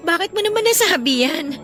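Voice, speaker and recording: High-voiced young Filipino older sister. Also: female voice, pushed and bright, full character voice. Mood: teasing. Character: resentful, dutiful older sister, a young student. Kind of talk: Filipino radio drama acting.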